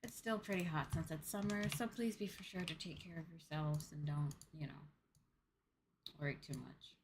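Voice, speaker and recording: A woman's voice: low-pitched, moderate pace, background noise. A woman livestreamer chatting casually to her viewers as she plays, informal and rambling, often repeating herself. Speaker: livestreamer and host.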